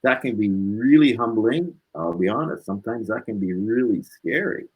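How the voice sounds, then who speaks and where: A man with a fairly low, husky voice speaks as an interview guest. His talk is casual and reflective. He is emphatic and circles back to repeat his key points. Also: lively intonation, moderate pace, a little mellow, low-quality recording.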